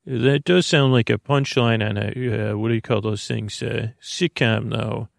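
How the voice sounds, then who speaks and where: A man hosting a bedtime podcast in a meandering, halting monologue, reassuring the listener, often repeating himself and trailing off mid-thought. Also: fairly low pitch, fairly even intonation, gravelly, no echo, studio quality. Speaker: podcast host. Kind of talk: rambling bedtime monologue to help listeners sleep.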